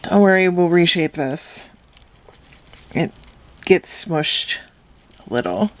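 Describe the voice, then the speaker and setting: A woman explaining a craft step by step in a calm, instructive way, her voice slightly hoarse from a cold. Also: fairly low pitch, slow. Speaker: craft tutorial host. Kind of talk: step-by-step craft tutorial voice-over.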